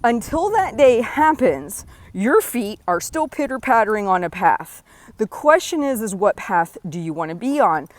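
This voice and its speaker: A woman in her forties speaks straight to her listener in an earnest, encouraging solo talk, often tossing in a quick "right?". Her voice sits at an ordinary middle pitch. The recording is close and completely dry, with no room sound. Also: very animated, no echo. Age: 40s. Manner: earnest, encouraging, direct address with frequent "right?". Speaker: podcast host.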